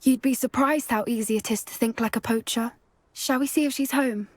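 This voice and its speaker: A woman voicing an earnest, determined teenage witch in a video game, with a British accent and an articulate, quietly intense manner. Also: fairly high pitch, steady tone, a little fast, no echo, close to an everyday voice. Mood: earnest, focused. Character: earnest, determined teenage witch schoolgirl who loves creatures. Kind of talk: cinematic game dialogue acting. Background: background music.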